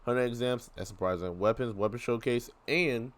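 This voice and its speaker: A man's voice: medium pitch, moderate pace, a little hiss or hum. A man giving a casual live gaming reaction, speaking in slangy exclamations and often repeating himself. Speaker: gaming video creator reacting to a trailer.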